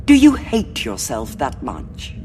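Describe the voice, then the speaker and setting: Ruthless cardinal, slow and imperious, hall reverb. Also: female voice, a bass voice, wildly swooping pitch, heavy background noise, heavily acted. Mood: cold. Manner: cold and commanding. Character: ruthless, manipulative woman cardinal.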